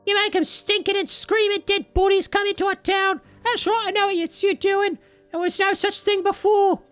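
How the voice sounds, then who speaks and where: A man's voice: very high voice, flat delivery, moderate pace, a bit bright, soft background music, pitched above the performer's own voice. A man voicing a grumpy, hostile old male villager in a game, with snarling, rustic folksy speech. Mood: bitter.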